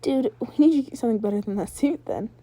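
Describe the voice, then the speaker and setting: Woman voicing a brash, cocky teenage ghost rocker girl, high. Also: fast, warm tone, natural voice. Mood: insistent. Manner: blunt, slangy, teasing.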